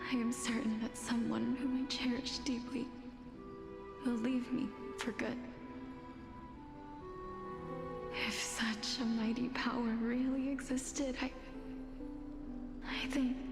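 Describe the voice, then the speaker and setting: A woman playing a gentle, sorrowful otherworldly maiden in a video game, speaking in a hushed, ceremonial way. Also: on the high side. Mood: sorrowful, resolute. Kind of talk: video game voice acting, solemn monologue. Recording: echoing hall reverb, loud music.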